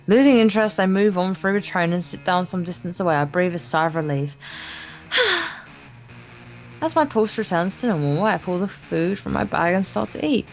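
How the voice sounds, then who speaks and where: A woman's voice: lowish voice, animated, moderate pace. A woman co-hosting a let's play, reading visual novel narration aloud, stumbling over words and adding wry, casual asides. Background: acoustic guitar game music.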